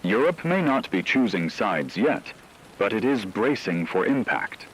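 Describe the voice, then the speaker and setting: A deep-voiced, solemn radio anchor played by a man delivers an emergency news script. The delivery is measured and ominous, with widely moving intonation. A faint layer of noise sits behind the voice. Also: sing-song, low-quality recording. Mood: grave, ominous. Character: grave male radio anchor reporting a looming war.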